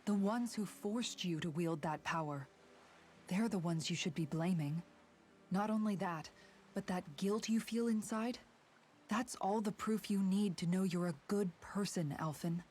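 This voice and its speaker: An actress playing a frank, fiercely supportive young fantasy fighter in a video game, earnest and firm. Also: female voice, mid-range voice, unhurried, darkish tone, slight background noise, natural voice. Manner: earnest, firm, plain-spoken. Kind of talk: dramatic video game voice acting.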